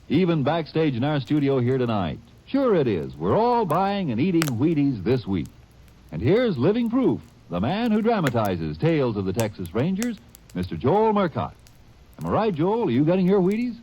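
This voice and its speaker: A man's voice: fairly high pitch, wildly swooping pitch, normal speed, mellow, lo-fi sound, slight background noise. A man announcing a live sponsor spot on a 1940s radio show, upbeat and salesy. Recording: old radio broadcast.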